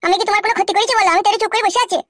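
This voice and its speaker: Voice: extremely high pitch, steady tone, rapid-fire, fairly bright tone, slight background noise. A voice actor playing a heartbroken, irritable village man in a comedy cartoon, complaining gloomily. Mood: miserable. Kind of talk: amateur Bengali comedy cartoon dialogue.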